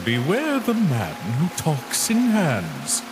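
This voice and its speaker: Casting: male actor as cryptic cartoon river spirit, very drawn-out warnings, music behind. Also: fairly high pitch, huge pitch swings, exaggerated voice. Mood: eerie, cryptic. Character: mysterious hooded river spirit giving eerie warnings. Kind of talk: fan comic dub acting.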